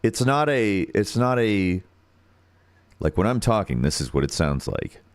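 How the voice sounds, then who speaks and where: Deep-voiced American man, lively chat. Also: unhurried. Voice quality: deep radio-style voice; turns nasal when singing high. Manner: thinks aloud, searching for words. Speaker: musician hosting a livestream. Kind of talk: casual livestream chat.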